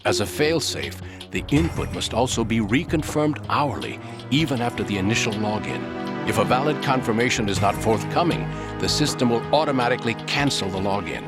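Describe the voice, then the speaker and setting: An actor playing a self-assured military insider in a video game, explaining things in an assertive way. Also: male voice, mid-range voice, lively intonation, fairly quick, over loud music, natural voice. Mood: confident, explanatory. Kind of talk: video game cutscene acting.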